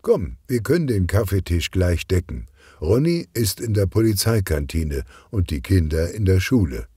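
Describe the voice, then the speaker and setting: A man's voice: low-pitched, very animated, moderate pace, mellow, husky, no room sound, studio quality, full character voice. A man voicing a cheerful, chatty, teasing woman in a children's story, explaining things in a friendly way.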